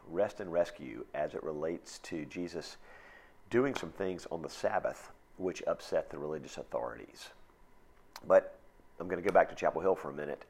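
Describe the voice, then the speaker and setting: A male pastor with a hoarse voice delivers a relaxed, conversational sermon full of folksy asides. His voice sits extremely low and sounds husky.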